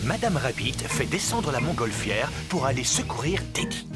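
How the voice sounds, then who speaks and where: A man's voice: mid-range voice, sing-song, normal speed, natural voice. A man acting as the cheerful storybook narrator of a preschool cartoon, telling it simply in French. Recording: loud music behind narration.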